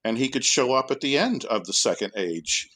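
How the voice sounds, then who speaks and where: A man's voice: medium pitch, animated, moderate pace, sharp tone. A man chatting as a guest in a casual panel discussion, hesitant as he thinks his points through aloud. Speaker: podcast guest, academic.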